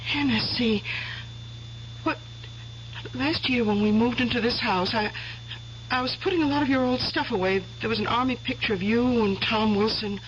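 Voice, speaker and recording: An actress in a 1970s radio drama playing a curious, chatty young pregnant wife. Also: female voice, a little high, normal speed, long pauses, lightly acted. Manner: eager, chatty, inquisitive. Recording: muffled old radio recording with hiss.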